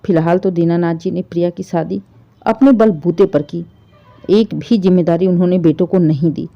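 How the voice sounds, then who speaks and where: A woman's voice: on the low side, steady tone, normal speed, creaky. Woman storyteller, slightly dull recording. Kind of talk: dramatic narration of an emotional Hindi family story.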